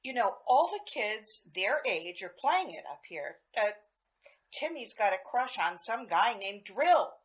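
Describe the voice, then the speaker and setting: A woman's voice: mid-range voice, big pitch swings, unhurried. A woman playing a cheerful housewife chatting with a friend in an amateur radio drama, breezy and gossipy. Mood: chatty.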